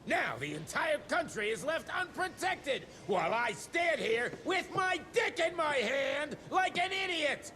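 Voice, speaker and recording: An actor playing a foul-mouthed, furious male government secretary who shouts profanity in a gravelly voice. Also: very high voice, very animated, unhurried, hardly any pauses, slight background noise, heavily acted. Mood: ranting. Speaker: cutscene character.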